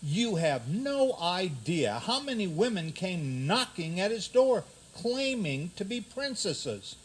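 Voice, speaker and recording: An actor playing an earnest fairy-tale prince, formal and theatrical, in a comic radio play. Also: male voice, high voice, full character voice, rough recording. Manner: declamatory, formal. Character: earnest, theatrical prince defending his dear brother.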